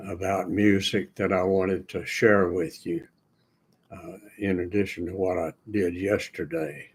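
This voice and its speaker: Older guy, lowish voice, talks real slow with lots of uh's and restarts. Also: flat delivery, husky. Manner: slow, hesitant, many "uh" fillers and restarts. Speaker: retired illustrator and singer sharing thoughts. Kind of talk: unscripted personal talk to camera.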